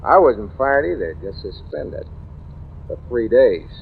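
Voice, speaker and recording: A man's voice: medium pitch, very animated, a little slow, gravelly. A man playing a brusque, dismissive American cop and husband in old-time radio drama, with an offhand, wisecracking way of talking. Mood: wry, skeptical. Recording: tinny, noisy vintage radio recording.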